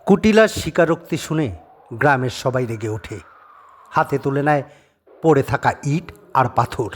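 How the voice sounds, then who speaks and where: A man tells a horror story in a theatrical, suspenseful way with pauses. His mid-pitched voice swings widely, with faint noise and ambience behind it. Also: animated. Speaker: storyteller, narrator. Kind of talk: dramatic scripted horror story narration.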